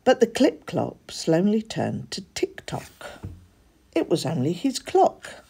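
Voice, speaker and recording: A woman reads a children's story aloud. She takes her time and varies her pitch a great deal, giving the narration an expressive shape. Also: takes it slowly, gravelly, breathy edge, whispered. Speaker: storybook reader.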